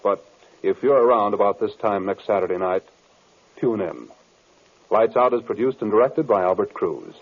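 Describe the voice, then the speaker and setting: Husky vintage male radio announcer. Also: on the low side, expressive, moderate pace. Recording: tinny, band-limited old radio broadcast.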